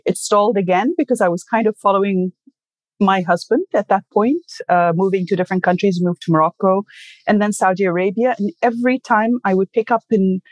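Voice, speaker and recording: A woman's voice: fairly low pitch, a little mellow, no room sound. Reflective Egyptian-born woman in her sixties. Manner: thoughtful, with many fillers and self-corrections. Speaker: interview guest. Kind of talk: casual conversation.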